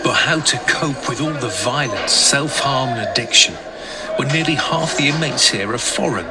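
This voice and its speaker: A man's voice: medium pitch, animated, moderate pace, loud music behind the voice. A man reading scripted narration as the narrator of a documentary. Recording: very noisy background under narration.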